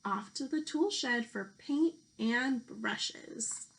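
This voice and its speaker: A woman teacher reading a children's picture book aloud, speaking deliberately to young listeners. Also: on the high side, expressive, a bit bright. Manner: slow, deliberate delivery addressed to young children. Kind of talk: children's storybook read-aloud.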